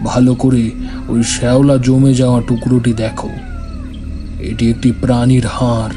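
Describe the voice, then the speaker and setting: A man's voice: mid-range voice, flat delivery, unhurried, music in the background, close to an everyday voice. A man playing a stern, authoritative tantric holy man who admonishes formally in a horror audio drama. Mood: stern, admonishing.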